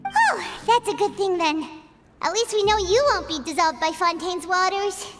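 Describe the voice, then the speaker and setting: A woman voicing a tiny, chatty, excitable childlike fairy companion in a video game, exclaiming and referring to herself in the third person. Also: very high voice, moderate pace, very noisy. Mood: blunt, curious. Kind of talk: animated game dialogue acting. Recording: background music over studio game voice.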